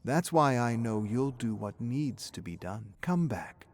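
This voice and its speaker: A man voicing a gentle, reassuring mother in soft, tender, steady storytelling dialogue. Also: mid-range voice, measured pace. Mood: tender urging.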